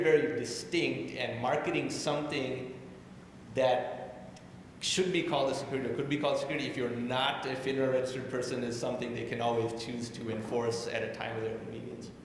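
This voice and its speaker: A man on a panel, likely a banker, explaining rules in a measured way. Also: medium pitch. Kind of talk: panel discussion. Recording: very echoey hall, long reverb, faint noise.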